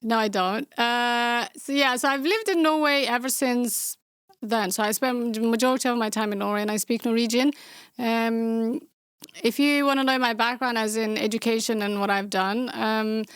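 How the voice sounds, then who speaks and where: A young adult woman from Somalia with a Somali accent, hosting a casual podcast Q&A and answering viewer questions in a blunt, outspoken way with sarcastic deadpan asides. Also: a little high, normal speed, clean tone, dry recording. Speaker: podcast host.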